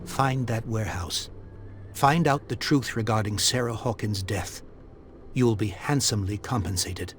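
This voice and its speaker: A man playing a proud, grieving elderly gentleman who speaks formally and earnestly in a video game. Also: fairly low pitch, normal speed, slight background noise, close to an everyday voice. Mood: solemn, insistent. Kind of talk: dramatic video game dialogue.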